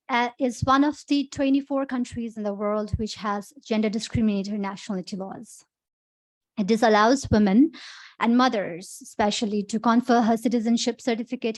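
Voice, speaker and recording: A woman's voice: a little high, no room sound, poor audio. A young woman with a Nepali accent gives an earnest prepared public speech as an activist. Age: young adult. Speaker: activist and human rights lawyer. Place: conference podium.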